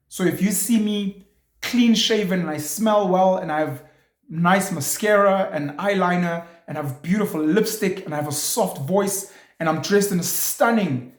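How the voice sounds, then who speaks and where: A man's voice: high voice, reverberant. A man hosting his own show, speaking in an opinionated monologue full of provocative rhetorical questions and emphatic points. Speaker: show host.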